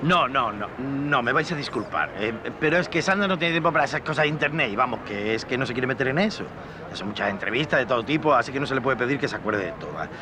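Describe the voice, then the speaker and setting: A man's voice: medium pitch, lively intonation, quick pace, over music, natural voice. An actor playing an apologetic, evasive male celebrity agent leaving a chatty, confiding voice message. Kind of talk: acted voice message. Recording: noisy outdoor phone voice message.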